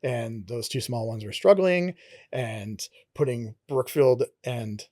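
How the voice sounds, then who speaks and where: A man's voice: mid-range voice, slow, darkish tone, dry recording, studio quality. A man from Connecticut with a Connecticut accent, a pastor telling his life story in an earnest, reflective way during a casual interview.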